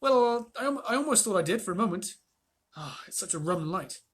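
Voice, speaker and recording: A man reading aloud and voicing an eager, excited young boy who talks raised and quick. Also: high voice, husky, voice pushed up.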